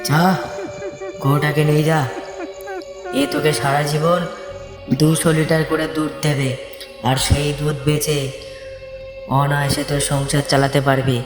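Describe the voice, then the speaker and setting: A woman's voice: low voice, flat delivery, a little slow, long pauses. A woman voicing a stern, imperious demon goddess in a cartoon, drenched in reverb. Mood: bargaining. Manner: imperious, commanding, repeats orders. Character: stern, commanding demon goddess granting bargains. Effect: long reverb. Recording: echoing reverb with music behind.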